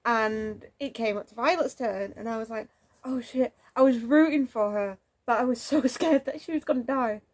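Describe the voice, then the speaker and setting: A young woman with a cold, chatting excitably about her reading in a casual vlog at home. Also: on the high side, normal speed. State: has a cold, snuffly. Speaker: book vlogger. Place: home room under a window, lamp lit.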